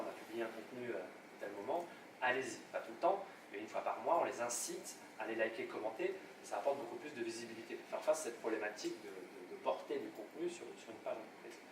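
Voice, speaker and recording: Male workshop speaker, quick, restart-prone delivery; distant, roomy, noisy recording. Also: mid-range voice. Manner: rapid, hesitant, many restarts. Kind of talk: live workshop talk. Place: meeting room at a club. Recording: echoey room, distant mic, noisy.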